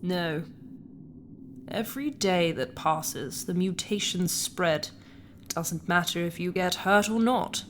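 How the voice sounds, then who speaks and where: A woman playing a grave, blunt alien healer in a science fiction audio drama, speaking in a solemn, deliberate manner. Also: on the low side, slight background noise.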